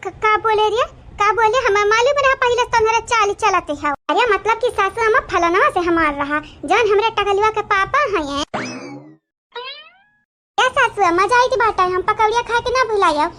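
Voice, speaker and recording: An actor with an Awadhi accent voicing a chattering, dramatic village woman in a comic cartoon, in a childlike squeaky voice. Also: animated, moderate pace, pushed and bright, heavily acted. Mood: animated banter.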